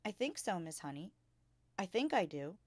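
A woman's voice: lowish voice, sing-song, normal speed, breathy edge, dry recording. A woman voicing a tiny, serious five-year-old schoolgirl in a children's storybook, polite and matter-of-fact. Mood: hesitant. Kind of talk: children's storybook read-aloud.

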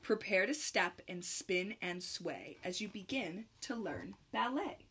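A young adult woman reading a rhyming picture book aloud to children in an expressive, sing-song manner with clear phrasing. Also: mid-range voice, measured pace. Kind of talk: children's read-aloud, rhymed storytelling.

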